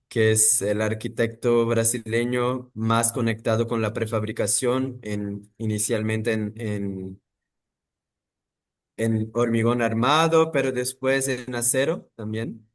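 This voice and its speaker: A man, talking in a thoughtful, halting way with many pauses and restarts as an interview guest. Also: on the low side, steady tone. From Brazil. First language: Portuguese. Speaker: architect guest. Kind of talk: interview conversation.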